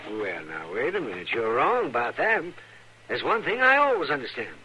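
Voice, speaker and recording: An actor playing a teasing older American town doctor, dry and folksy, in an old radio Western. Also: male voice, medium pitch, huge pitch swings, normal speed, pushed and bright, husky, heavily acted. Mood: wry, kindly. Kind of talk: 1950s radio Western acting. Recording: noisy, crackly old radio recording.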